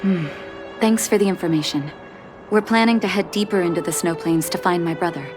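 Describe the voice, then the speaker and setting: A woman voicing a gentle, resolute doctor and leader in a video game, soft, measured and thoughtful. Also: lowish voice, over music, natural voice. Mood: composed, curious.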